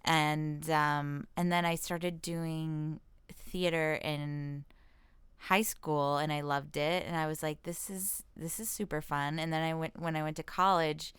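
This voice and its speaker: American woman, interview guest, low super clear voice, chatty and thinks out loud. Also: fairly even intonation, unhurried, no room sound. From Washington state, United States. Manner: informal, self-deprecating, thinks aloud. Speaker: comedian and actress, interview guest. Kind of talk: casual chat.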